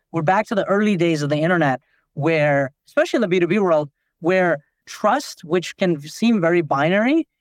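A man's voice: high voice, no echo. A man from Nepal, a company founder, explaining things in a podcast interview with lots of "like" fillers. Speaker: company founder and CEO, guest.

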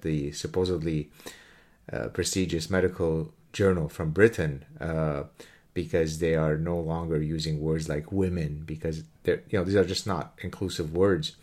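A middle-aged man from Canada hosting his own channel, talking in a casual mocking monologue and now and then breaking into off-key snippets of song. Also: sits low.

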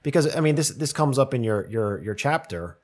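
A man hosting an interview, asking casual questions with halting restarts. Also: medium pitch, expressive. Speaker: interviewer, host.

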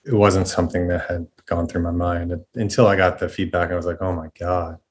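A man's voice: low-pitched, flat delivery, a little fast. A man appearing as a podcast guest, talking hesitantly and apologetically in casual conversation, often repeating his words.

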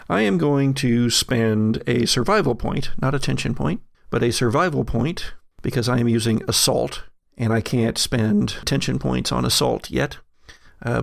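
A man narrating an improvised horror tabletop game as game master, halting and pausing mid-sentence to build suspense. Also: mid-range voice, gravelly, no room sound, studio quality.

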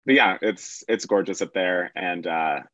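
Podcast guest, male, very low voice, dull dry lo-fi sound, relaxed rambler. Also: a little slow, no echo. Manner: relaxed, rambling, lots of fillers. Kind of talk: casual chat.

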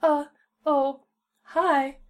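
A woman's voice: high voice, fairly even intonation, very slow, voice pushed up. A woman voicing a timid, anxious winged cartoon pony girl in a fretful, hesitant, shy manner.

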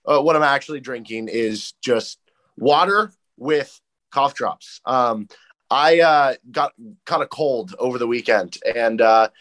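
A man's voice: mid-range voice, unhurried, a bit bright. A man co-hosting a sports podcast in casual chat, stuffed up and congested from a cold, with a dry, joking delivery. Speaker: podcast co-host.

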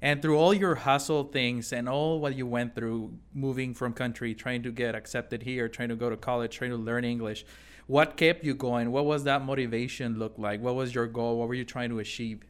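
A male podcast host with a smooth, resonant voice at a middling pitch. He praises his guest enthusiastically and asks follow-up questions. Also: fairly even intonation, normal speed. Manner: enthusiastic, admiring. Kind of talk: interview questions.

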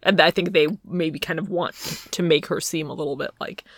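Creaky-voiced woman in wry casual chat. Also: sits low, steady tone, fairly quick, no room sound. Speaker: podcast co-host.

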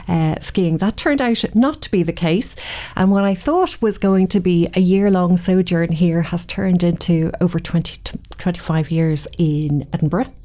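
A middle-aged woman with a Dublin Irish accent, answering podcast interview questions in a measured, thoughtful way with occasional restarts. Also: fairly low pitch, dark tone. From Ireland. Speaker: guest, committee chair.

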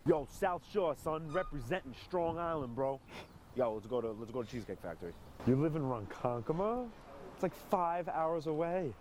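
A man's voice: a little high, lively intonation, normal speed, natural voice. A man playing a brash young Long Island bro in a comedy sketch, slangy and boastful, with faint noise behind. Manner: loud slangy bro talk.

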